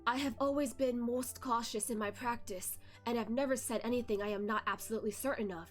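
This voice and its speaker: A woman voicing a cautious, learned old male physician from a story, speaking in a measured, formal, explanatory way. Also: a little high, close to an everyday voice. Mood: calm, firm. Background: music behind the voice.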